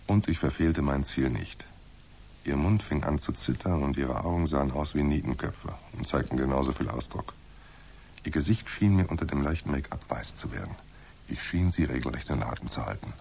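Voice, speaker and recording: A man's voice: extremely low pitch, normal speed, vocal fry, darkish tone. An actor playing a cocky, wry and unflappable male private detective in a German radio crime drama. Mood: wry narration. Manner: wry, unflappable, mock-courteous. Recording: roomy echo with faint noise.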